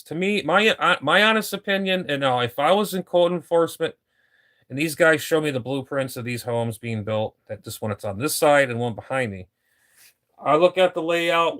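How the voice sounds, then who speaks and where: A man's voice: fairly high pitch, fairly bright tone. A middle-aged man chatting casually on a live stream from his home radio room, rambling and complaining and often repeating himself.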